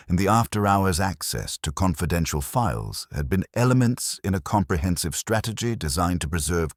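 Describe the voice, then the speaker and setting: Very deep-voiced male narrator. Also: no room sound, clean studio sound. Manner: formal, measured, wordy delivery. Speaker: narrator of a detective story. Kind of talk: scripted audiobook-style narration.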